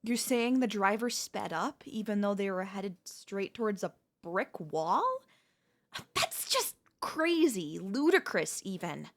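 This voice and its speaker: Woman acting a slow-speaking, doting yandere wife. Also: medium pitch, expressive. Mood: disbelieving, horrified. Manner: breathy, hesitant stammers, tender coaxing. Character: doting yandere wife, anxious, soothing, quietly controlling. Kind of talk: ASMR audio roleplay, intimate acted monologue.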